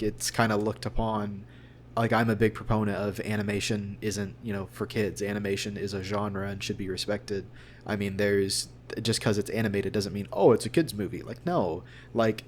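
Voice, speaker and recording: A man's voice: fairly low pitch, a little hiss or hum. A man speaking as a voice actor in a casual interview, in an earnest, rambling way.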